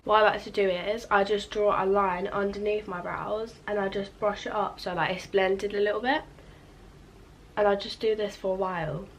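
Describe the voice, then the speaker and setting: A woman's voice: mid-range voice, fairly even intonation, moderate pace, slight background noise. A teenage girl chatting casually while doing her makeup, rambling with lots of "like" and still sounding sleepy from just waking up. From England. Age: teens. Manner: chatty, rambling, filler-heavy with frequent "like". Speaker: beauty vlogger. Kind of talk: casual get-ready-with-me chat.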